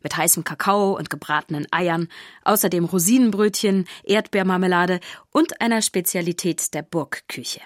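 A woman's voice: lowish voice, moderate pace, no room sound, clean studio sound. A woman giving scripted narration of a children's story.

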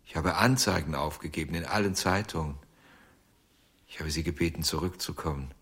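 A husky, haunted ventriloquist. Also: male voice, a bass voice, fairly bright tone, heavily acted. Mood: wistful. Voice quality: husky, hesitant with many pauses. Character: haunted, obsessive male ventriloquist, middle-aged. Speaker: ventriloquist under questioning. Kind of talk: German radio drama.

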